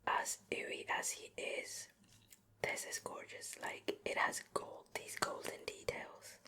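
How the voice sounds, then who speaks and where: A woman hosting an ASMR show and tell in a soft, breathy whisper, chatting casually and unhurriedly close to the microphone. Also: slow pace. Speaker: ASMR host. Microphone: close ear-to-ear microphone.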